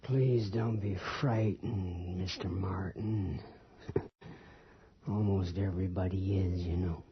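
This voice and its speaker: An actor playing a frail, reclusive father in a radio drama, halting, gentle and apologetic. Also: sits low, fairly even intonation, a little hiss or hum. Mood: eerily gentle. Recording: muffled old radio drama recording.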